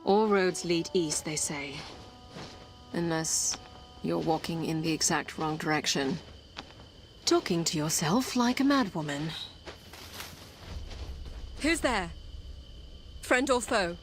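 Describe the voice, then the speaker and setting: An actress playing a young blind princess in an audio game, wary but determined, with a measured, pensive delivery. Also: female voice, on the low side, lively intonation, a little hiss or hum. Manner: measured, pensive, often speaking to herself.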